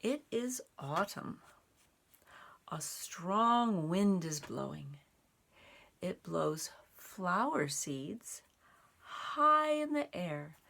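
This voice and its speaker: A woman reading a children's picture book aloud, phrasing it deliberately in short pieces with animated emphasis. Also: mid-range voice, creaky. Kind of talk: expressive read-aloud for young children.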